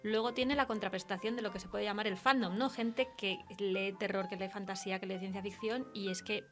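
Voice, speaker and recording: Fast-talking Spanish woman hosting radio chat. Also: mid-range voice, fairly even intonation, faint music behind. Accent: Spain Spanish. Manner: chatty, agreeable, interjecting affirmations. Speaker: radio host interviewing a writer. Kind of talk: casual literary radio interview.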